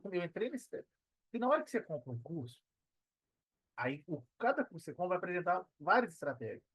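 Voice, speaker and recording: A man's voice: a little high, dry recording, dull audio. Brazilian man talking emphatically, dry close sound. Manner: emphatic, colloquial, often quoting own thoughts. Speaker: podcast guest, affiliate marketer. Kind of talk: casual interview talk, telling own experience.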